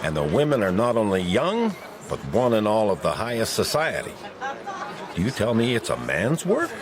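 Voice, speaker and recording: Emphatic gentleman, wildly animated intonation. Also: fairly low pitch, normal speed, crowd noise. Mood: assured, insistent. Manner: emphatic, formal, insistent. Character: brisk, assured gentleman go-between recommending a detective. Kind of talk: period radio drama acting.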